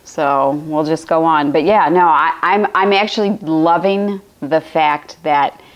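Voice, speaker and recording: Low, raspy-voiced woman. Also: fairly even intonation, a little slow, dull audio. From Illinois, USA. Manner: rambling, repetitive phrasing with frequent restarts. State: raspy, cracking voice. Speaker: spiritual teacher hosting a live stream. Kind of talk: live-streamed spiritual talk. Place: home room with a fireplace, indoors.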